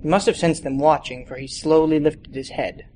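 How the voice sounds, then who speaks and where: A young man reads a detective story aloud in a narrating style. His voice is a little higher than most men's. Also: fairly even intonation, normal speed, dark tone, slight background noise. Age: young adult. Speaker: student reading a short story aloud.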